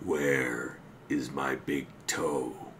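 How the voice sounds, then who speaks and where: A man voicing a menacing, groaning monster in a folktale, drawing its words out slowly over some background noise. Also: rumbling low, steady tone, fairly bright tone, voice pushed down, full character voice. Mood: eerie groaning. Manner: drawn-out, menacing delivery. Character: groaning monster hunting its severed big toe, slow and menacing.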